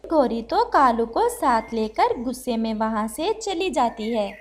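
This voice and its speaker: A woman's voice: a little high, very animated, unhurried. A woman narrator reading a scripted children's story.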